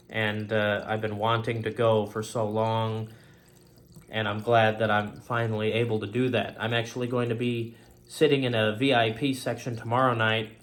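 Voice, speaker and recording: A man hosting a faith-themed talk show, speaking in an earnest, rambling solo monologue and often circling back to stock phrases. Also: fairly low pitch.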